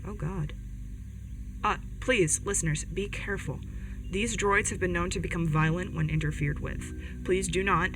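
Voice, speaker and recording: A woman's voice: on the low side, lightly acted. A woman playing an earnest, caring older sibling who hosts a community radio show in an audio drama, chatty and a little hesitant. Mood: worried, urgent. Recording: music bed behind the voice.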